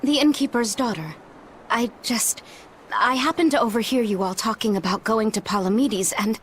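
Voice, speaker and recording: A woman's voice: on the high side, normal speed, a little hiss or hum, close to an everyday voice. A woman playing a timid, anxious young innkeeper's daughter in a video game, hesitant and pleading. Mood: hesitant, worried. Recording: music under the voice.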